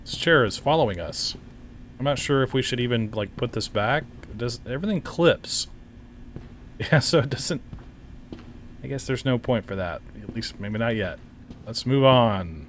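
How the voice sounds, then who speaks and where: A man gives casual gameplay commentary in a middle-pitched voice. He is laid-back and a little halting as he thinks aloud, with faint noise and game ambience behind him. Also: expressive. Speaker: game reviewer and player.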